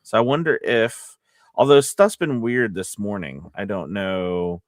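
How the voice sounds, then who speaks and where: A man co-hosting a casual livestream as a voice actor, talking in a rambling, halting way and often correcting himself. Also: fairly low pitch, no room sound, studio quality.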